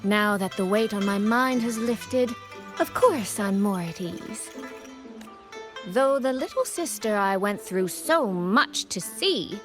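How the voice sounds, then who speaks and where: A woman playing a composed, secretive young elder sister in a video game, speaking in a measured, playfully teasing way. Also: mid-range voice, animated. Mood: light teasing. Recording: music behind the voice.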